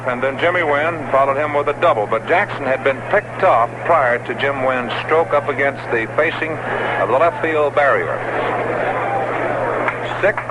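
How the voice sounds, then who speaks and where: A man doing 1960s radio baseball play-by-play in a folksy, chatty way between pitches. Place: stadium broadcast booth. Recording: noisy vintage AM radio broadcast recording.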